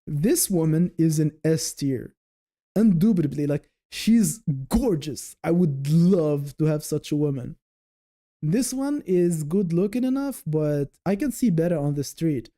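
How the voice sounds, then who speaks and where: A man's voice: on the high side, expressive, a little slow, dark tone. A man hosting a casual livestream, rambling and thinking aloud as he chats with viewers. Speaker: livestream host.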